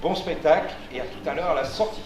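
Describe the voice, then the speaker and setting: A man's voice: a little high, fast, over a noisy crowd. Distant echoey man welcoming an audience. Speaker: host welcoming a theatre audience. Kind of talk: live welcome speech. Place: theatre, speaking to audience. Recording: echoey, distant, noisy venue sound.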